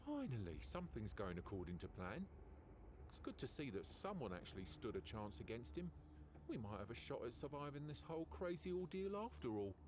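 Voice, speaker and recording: A man's voice: mid-range voice, sing-song, brisk, a little mellow, slight background noise, natural voice. An actor playing a sly, chatty hoofed guide in a video game, theatrical and patronizing as he explains. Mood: pleased, relieved. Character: sly, chatty male guide with hooves, smugly evasive.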